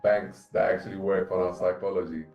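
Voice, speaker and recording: A man's voice: low-pitched, little pitch movement, fairly quick, vocal fry, dull audio, faint music behind. A man joining a live trading stream, casually talking through how he manages his trades. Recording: echoey room sound.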